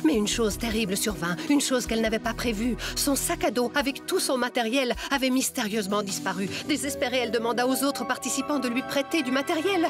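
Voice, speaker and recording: A woman's voice: fairly high pitch, a little fast, few pauses, over music, close to an everyday voice. A woman playing a mischievous cartoon teacher who tells spooky tales in a dramatic, theatrical manner. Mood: ominous, storytelling. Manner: dramatic, theatrical, prone to cackling. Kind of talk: French-dubbed cartoon acting, storytelling.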